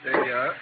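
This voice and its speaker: An actor playing a sardonic, sickly and coolly dangerous gunfighter in a radio Western, with an American accent and a dry, wry, polite manner. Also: male voice, on the low side, phone-quality sound, close to an everyday voice. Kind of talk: 1950s radio Western acting. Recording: thin, very noisy old radio recording.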